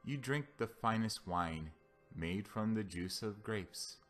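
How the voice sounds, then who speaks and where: A man giving a solemn scripture reading as a Bible reader, with deliberate, declamatory phrasing. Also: on the low side, animated, fairly bright tone. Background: background music.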